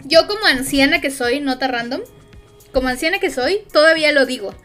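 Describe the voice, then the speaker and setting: A woman's voice: high voice, expressive, a little fast, fairly bright tone, faint music behind. A woman with a Mexican accent chatting casually on a stream, rambling and hesitant with lots of fillers.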